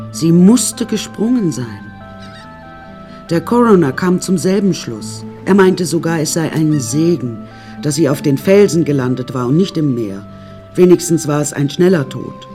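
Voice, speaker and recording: An actress playing a self-possessed young woman who narrates her story in a dry, measured way in a radio drama. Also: lowish voice, darkish tone.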